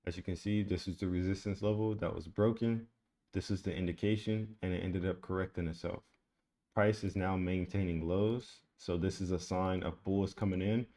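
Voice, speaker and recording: A man with a low, mellow voice talks through a price chart in a relaxed, repetitive way. He keeps a flat, even tone as he points out levels and entries, like a casual screen-share lesson. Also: moderate pace. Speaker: trading educator. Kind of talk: screen-share trading tutorial.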